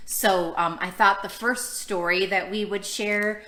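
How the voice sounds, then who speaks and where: A woman's voice: medium pitch, fairly even intonation, unhurried, fairly bright tone, a little hiss or hum. Woman reading storytime in an echoey room. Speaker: children's storytime host and librarian. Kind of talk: reading a picture book aloud to children, with chatty asides.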